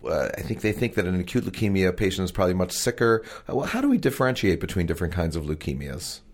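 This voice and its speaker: A man's voice: low-pitched, very animated, quick pace. A man interviewing a doctor, asking curious, encouraging questions with some hesitant fillers.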